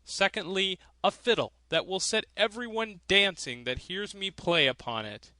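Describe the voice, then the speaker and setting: A man's voice: high voice, lively intonation, normal speed, sharp tone, dry recording, pitched above the performer's own voice, heavily acted. A man voicing a merry, cunning countryman in a fairy tale, with a hearty, bold manner. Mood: cheerful.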